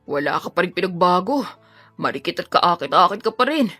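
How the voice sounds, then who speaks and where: A woman voicing a menacing, obsessive male attacker in an audio drama, taunting, bitter and forceful. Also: lowish voice, big pitch swings, fairly quick, faint music behind, voice pushed down, full character voice. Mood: leering.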